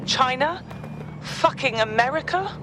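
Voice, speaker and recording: An actor playing an irate, suspicious captive in a video game, demanding and sweary. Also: male voice, extremely high pitch, lively intonation, unhurried, background noise. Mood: angry.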